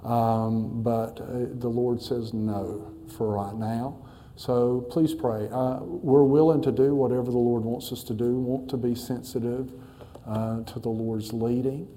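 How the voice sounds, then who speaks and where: Casting: middle-aged man, pastor; very dark, mellow tone, folksy, halting delivery, faint hum behind. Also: medium pitch, steady tone. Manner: folksy, halting with many fillers. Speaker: missionary pastor. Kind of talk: church talk to a congregation. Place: church.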